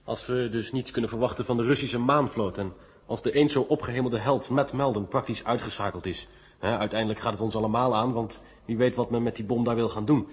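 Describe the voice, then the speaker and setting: A man's voice: mid-range voice, steady tone, a little fast, natural voice. Insistent journalist in an echoing hall played by a man. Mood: exasperated. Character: sceptical, insistent journalist at press conference. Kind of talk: Dutch radio play acting, 1970. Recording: muffled 1970 radio recording, echoing hall.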